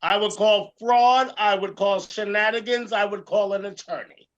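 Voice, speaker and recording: A man with a high-set, gravelly voice speaks as a theatrical talk show host. He delivers emphatic rants, repeating phrases for dramatic weight. The recording is slightly dull and lo-fi. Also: fairly even intonation, normal speed, fairly bright tone. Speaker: host, comedian and drag performer. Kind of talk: animated talk show rant.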